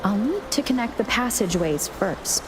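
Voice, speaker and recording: A woman voicing a calm, analytical young adventurer in a video game, musing to herself in a measured, matter-of-fact way. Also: medium pitch, background noise, natural voice. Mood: pondering, composed. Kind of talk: video game voice acting, inner monologue.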